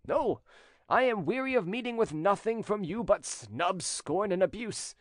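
A man's voice: sits high, lively intonation, measured pace, no echo, clean studio sound, pitched above the performer's own voice. A man voicing a jealous, scheming spurned suitor in a storybook reading, with insistent, theatrical delivery. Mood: insistent, bitter.

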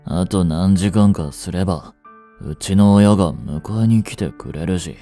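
An actor playing a proud, aloof teenage boyfriend in a romance voice drama, curt and dismissive, later feverish and weak. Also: male voice, deep voice, a little slow, warm tone, faint music behind.

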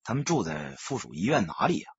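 A man voicing a quiet, coldly restrained ex-soldier gangster in an audiobook, terse and husky. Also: very animated, brisk, no echo, dull audio, exaggerated voice. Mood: coldly calm. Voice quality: low, hushed, husky. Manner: terse, understated.

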